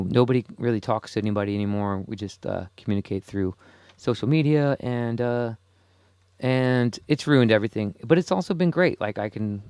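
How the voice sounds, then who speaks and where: A man in his forties drifting from one thought to the next in a casual solo monologue. He chuckles often at his own remarks. His voice sits at an ordinary pitch, recorded dry and close. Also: darkish tone, clear, resonant tone, no room sound. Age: 40s. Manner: rambling, self-amused, chuckles often. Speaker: podcast host.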